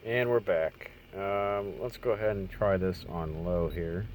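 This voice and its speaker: A man with a very low voice gives a casual hands-on review. He rambles a little, pausing and hedging in plain, unscripted speech. Clear background noise runs through the homemade camera recording. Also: darkish tone, poor audio. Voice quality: low-pitched. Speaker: radio hobbyist reviewer.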